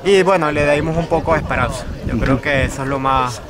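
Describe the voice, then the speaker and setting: A man's voice: mid-range voice, fast. A man in his twenties with a Venezuelan accent, giving a volunteer's interview testimonial. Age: 20s. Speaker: student volunteer. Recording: outdoors amid loud crowd.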